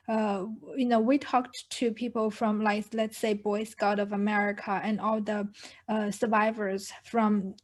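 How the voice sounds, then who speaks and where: A woman from China hosts an interview on a video call, her voice pitched a touch high. She talks earnestly, with fillers and restarts as she shapes her questions. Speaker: host. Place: home room with pictures on wall. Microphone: video call, earphone mic.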